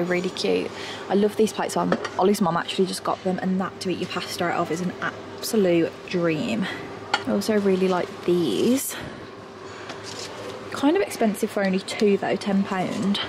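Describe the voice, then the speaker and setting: A woman in her twenties with an English accent, chatting informally to camera in a casual vlog style, often dropping in "like". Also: medium pitch. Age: 20s. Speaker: vlogger. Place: parked car, later shop, moving car, kitchen. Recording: clear ambient background noise.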